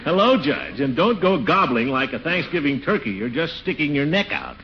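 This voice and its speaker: An actor playing a blustery, pompous, excitable middle-aged man in a 1940s radio comedy. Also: on the high side, normal speed. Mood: self-satisfied. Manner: pompous, blustery, theatrical exclamations. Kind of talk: 1940s radio sitcom acting. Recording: dull, noisy 1940s radio recording.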